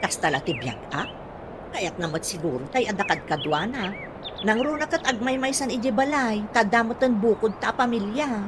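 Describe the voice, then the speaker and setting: An actress playing a sensible grown daughter and elder sister in a comedy radio drama, matter-of-fact and gently admonishing. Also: female voice, mid-range voice, expressive, normal speed, lightly acted. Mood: calm, confiding. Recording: clearly noisy ambience, birds behind.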